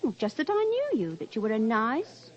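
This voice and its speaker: A saucy barmaid in a vintage radio drama. Her voice is fairly high and extremely animated, brisk and defensive. The recording is dull and lo-fi. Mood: defensive, indignant. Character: brisk, defensive working-class English pub barmaid. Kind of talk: old-time radio drama acting.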